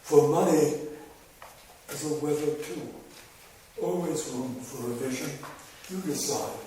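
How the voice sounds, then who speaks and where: Slow-reading poet in a very echoey hall. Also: male voice, fairly high pitch, mellow. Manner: slow, measured recitation with wry asides. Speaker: poet reading his own work. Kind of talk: poetry reading with spoken asides. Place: large hall before a live audience. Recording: very echoey hall, voice far from mic, background noise.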